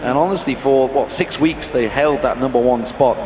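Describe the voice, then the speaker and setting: A man doing brisk esports play-by-play commentary on a headset at a packed convention hall. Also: mid-range voice. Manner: brisk, excitable hype. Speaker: esports caster. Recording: echoey arena with crowd noise.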